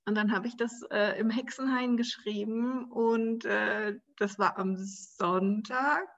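Solo podcast host, German woman, slightly high voice, unhurried and musing, a bit lo-fi. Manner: relaxed, searching, frequent ums and soft laughs. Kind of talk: casual solo podcast monologue.

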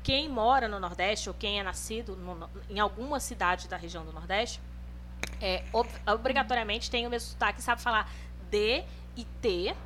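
A young woman from northern Brazil, co-hosting a casual group chat and explaining points in a relaxed, chatty way. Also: mid-range voice, normal speed, slight background noise.

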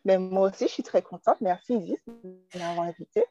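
A woman in her twenties from Martinique, telling her story as a podcast guest in a relaxed way with many fillers. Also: lowish voice, fairly quick, faint music behind. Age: 20s. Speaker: guest entrepreneur. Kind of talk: casual interview conversation. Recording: dull lo-fi dry sound.

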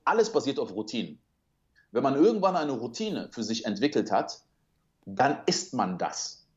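A man's voice: mid-range voice, lo-fi sound. A man whose first language is French, talking as a speaker and coach in a casual interview, earnest and motivational, building long metaphors.

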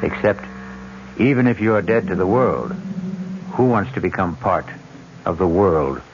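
A man's voice: deep voice, expressive, measured pace, a little hiss or hum. A man hosting a radio mystery series, narrating in an ominous, wry, theatrical manner. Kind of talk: dramatic scripted narration, 1970s radio. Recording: dull old radio broadcast recording. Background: music.

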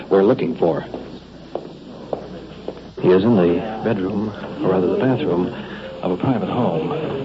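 A man reporting live as he rides along with police, improvising hesitantly in formal wording, in the style of an old radio documentary. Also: deep voice. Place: on location in police car and houses. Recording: muffled, very noisy old field recording. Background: loud engine and ambient noise.

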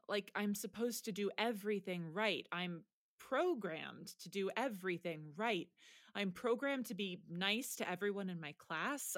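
An actress playing a stressed, deadpan high school girl with an exasperated manner in an audio drama. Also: dry recording, studio quality, natural voice. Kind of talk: naturalistic audio drama dialogue.

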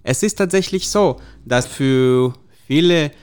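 A man moderating a panel discussion in a measured, formal way, with the odd filler. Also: on the high side, animated, a little mellow, smooth.

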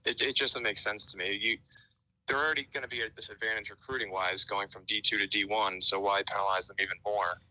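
A man's voice: on the low side, fairly even intonation, quick pace, bright, forceful tone, dry recording, rough recording. A young man calling in to a sports radio show, speaking eagerly and emphatically. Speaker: caller, college sports fan.

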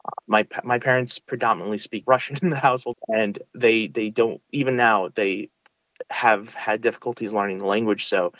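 A man's voice: fairly low pitch, steady tone, brisk, a bit bright, no echo, lo-fi sound. A young man from Brooklyn, earnestly answering interview questions with many restarts and fillers. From United States (Brooklyn). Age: young adult. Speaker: interview guest, valedictorian. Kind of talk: casual interview.

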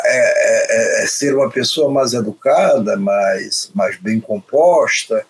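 A man with a Brazilian accent, a panel commentator who speaks skeptically and somewhat haltingly about a new minister. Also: medium pitch, slightly rough, poor audio. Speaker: political commentator.

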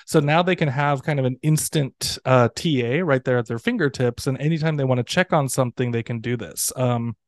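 A man speaks as a podcast guest, loosely and conversationally, full of fillers, restarts and little acted-out quotes. His voice sits at an ordinary middle pitch. The recording is dry and close, with no room sound. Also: brisk, hardly any pauses, no room sound. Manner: rambling, many fillers and restarts, quotes others in his stories. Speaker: ESL professor and guest. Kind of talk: casual interview talk.

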